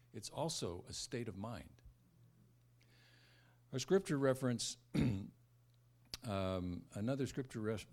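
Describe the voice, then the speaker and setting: A man's voice: medium pitch, a little mellow. Older American man preaching a sermon. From born in America, raised largely in Southeast Asia. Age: 70s. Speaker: preacher, former missionary. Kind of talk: sermon with scripture reading and personal stories.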